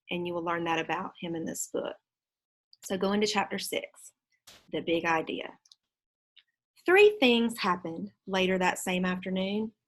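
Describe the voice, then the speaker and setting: A woman's voice: on the low side, a bit bright. A woman teacher, reading a children's book aloud and explaining it to her pupils with reminders.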